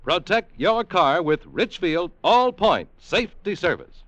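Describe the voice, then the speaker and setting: A man's voice: high-pitched, animated, measured pace, lo-fi sound, loud music behind the voice. An American man reading scripted announcements and sponsor copy as a polished 1950s radio announcer.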